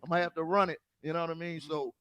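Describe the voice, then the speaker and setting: A man's voice: fairly high pitch, fairly even intonation, fast talker, a bit bright, smooth, no echo. An American man who hosts and DJs, telling stories in a casual interview with stumbling restarts. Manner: storytelling, stumbling restarts, laughs often. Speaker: DJ and podcast host.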